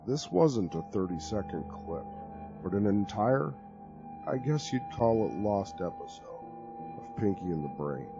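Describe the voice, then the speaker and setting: A man giving a dramatic reading of a horror story, telling it in an expressive, conversational way. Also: on the low side, a little slow, dull audio, over music. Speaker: narrator.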